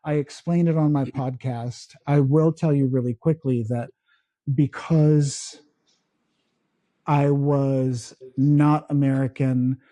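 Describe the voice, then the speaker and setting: A man from the Philippines, his first language Tagalog, co-hosting a podcast in casual chat, talking slowly and halting with many restarts. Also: medium pitch, fairly even intonation, dark tone, no echo. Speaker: podcast co-host.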